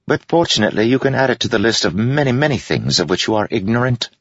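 A quick-tongued court jester pitched high and swooping, delivering cutting insults with theatrical relish, as a male narrator puts him on. The recording is dry and a little dull, with no room sound. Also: big pitch swings, husky, dry recording. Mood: mocking.

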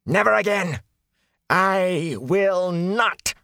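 A man voicing a filthy, cringing male beggar who whines and then turns smooth, unctuous and haughty. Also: on the low side, expressive, unhurried, fairly bright tone, no echo, clean studio sound, voice pushed up. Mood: defiant.

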